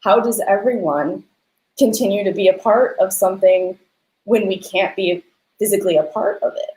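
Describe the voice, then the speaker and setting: A woman's voice: medium pitch, moderate pace, a little mellow. A woman co-hosting a conversational discussion, explaining earnestly and posing rhetorical questions as she goes. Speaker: co-host, lighting designer. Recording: roomy, echoing sound.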